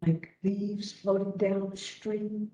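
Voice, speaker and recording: A woman leading a guided meditation as instructor, gently and unhurriedly, leaving long pauses between phrases. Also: mid-range voice, completely flat delivery. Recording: roomy, distant webinar audio.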